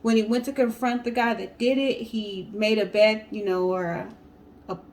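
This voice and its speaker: A woman with a fairly high voice co-hosts a storytelling show. She talks casually and directly to her listeners, with an animated, informal delivery. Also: moderate pace. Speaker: co-host of a storytelling guessing show. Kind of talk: casual storytelling and chat to viewers.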